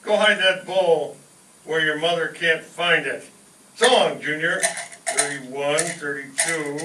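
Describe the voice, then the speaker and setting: A man's voice: a little high, slow pace, bright, forceful tone. An actor playing an eager, bossy, bean-obsessed father in old-time radio comedy style. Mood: busy, eager. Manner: keeps muttering numbers while counting. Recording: echoey distant live stage, noisy room.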